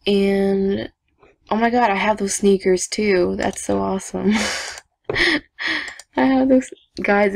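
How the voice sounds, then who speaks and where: A young woman chatting casually to viewers while she builds a game avatar, talking to herself with giggly asides in a low evening voice. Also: creaky. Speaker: gaming vlogger. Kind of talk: casual screen-recording commentary.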